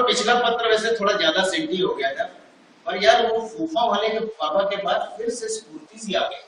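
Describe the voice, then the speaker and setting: A man's voice: high-pitched, fairly bright tone, a little hiss or hum. An older man on an amateur stage reading letters aloud to an old friend, wistful and playful in turn, in a large auditorium. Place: large auditorium, no sound system. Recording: echoey auditorium from far off.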